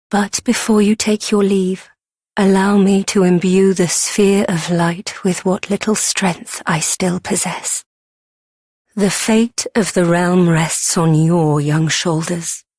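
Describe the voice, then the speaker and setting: A woman playing a solemn, benevolent guardian spirit in a fantasy video game, with measured, archaic phrasing. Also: on the low side, a little mellow, slightly rough, dry recording, heavily acted.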